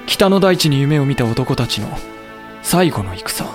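A man's voice: medium pitch, very animated, measured pace. A man playing a loyal samurai vice-commander who recounts a war in a dramatic audio drama. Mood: grave narration. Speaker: narrator and character in audio drama. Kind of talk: dramatic Japanese audio drama narration and dialogue. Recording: dramatic music behind.

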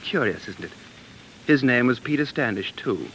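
A man's voice: medium pitch, lively intonation, moderate pace, a bit bright, a little hiss or hum, close to an everyday voice, low-quality recording. A man playing an earnest, dreamy American living in London, speaking intensely and musingly in 1940s radio drama style. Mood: wistful, fascinated. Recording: 1940s radio broadcast, faint music.

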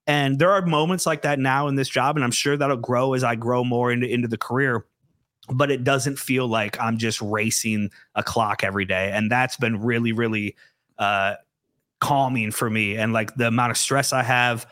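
A man's voice: medium pitch, steady tone, fairly bright tone, no echo, clean studio sound. A man, a podcast guest who chats easily and readily agrees with the host. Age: 40s. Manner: agreeable, quick affirmations. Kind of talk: casual chat.

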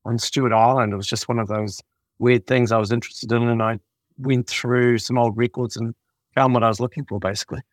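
A man with a New Zealand accent, a reporter answering interview questions in a relaxed, somewhat hesitant way. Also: medium pitch, a little fast, no room sound.

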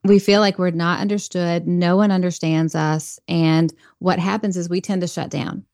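A woman's voice: on the low side, flat delivery, moderate pace, darkish tone, no room sound, studio quality. A woman guest on a podcast interview, talking earnestly and reflectively about faith and leadership. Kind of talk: conversational interview.

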